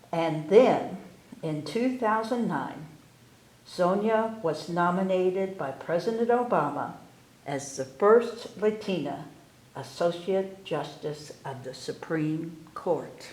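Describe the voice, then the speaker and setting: A woman hosting a library story time, reading aloud to children with deliberate phrasing. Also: on the low side, a little mellow. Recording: roomy, echoey indoor sound.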